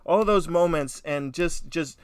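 A man's voice: on the high side, a little slow, smooth, no room sound, clean studio sound. A man co-hosting a casual film review podcast, talking emphatically with swearing and frequent checks for agreement. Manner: emphatic, profane, peppered with "you know" and "right?".

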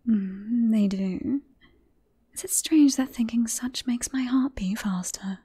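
A woman playing a teasing, self-assured queen in a romantic fantasy ASMR roleplay, with breathy, formal courtly phrasing. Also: on the high side, slow, mellow, exaggerated voice. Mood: tender, confident.

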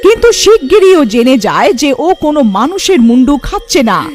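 High-voiced woman narrating a cartoon. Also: sing-song, normal speed, over music. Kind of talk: scripted cartoon story narration.

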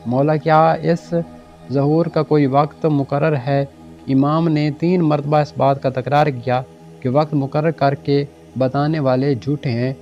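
A man reading a scripted religious narration in a formal, measured way with solemn pauses. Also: mid-range voice, warm tone. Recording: dull lo-fi voice-over with music behind. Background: background music.

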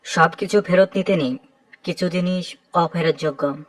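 A woman voicing a calm, gently teasing young male airline pilot in a romantic audio story. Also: on the low side, moderate pace, dry recording, far from the mic, pitched below the performer's own voice, natural voice, low-quality recording.

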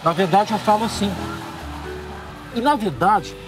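Middle-aged male TV host, calm and deliberate, outdoors with music underneath. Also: high voice. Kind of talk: emotional reveal speech. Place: outdoors at a city bus stop. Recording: TV segment with music behind.

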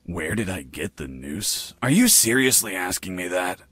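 An actor playing a cocky captured commando pilot in a video game, telling his story in a deadpan, wry way. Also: low voice, moderate pace, breathy edge, no room sound, full character voice. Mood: deadpan, matter-of-fact. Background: background music.

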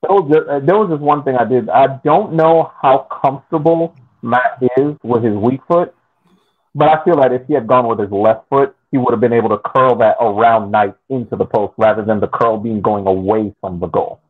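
A man casually analyzing a soccer match as a podcast panelist, speaking in a halting, deliberate way. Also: medium pitch. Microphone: video call. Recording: phone-like narrowband call audio.

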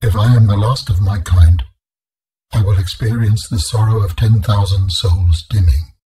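An ancient alien of great calm, in a game. The voice is low and mellow, with a very husky grain. Every phrase is formal, grave and measured. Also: male voice, low-quality recording. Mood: solemn. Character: ancient alien architect being, male, solemn and calm. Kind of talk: video game voice acting.

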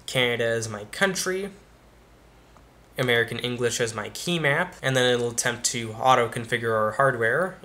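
Bright-voiced young man explaining a tech setup. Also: medium pitch. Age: young adult. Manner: casual, explanatory, step-by-step. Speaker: tech YouTuber. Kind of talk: screen-recorded tutorial walkthrough. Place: small room indoors.

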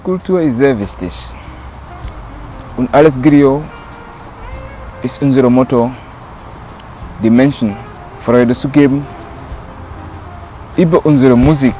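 Slow-spoken Senegalese man over music. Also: mid-range voice, mellow, poor audio. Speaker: griot, percussionist. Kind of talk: documentary interview to camera. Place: outdoors in leafy woodland.

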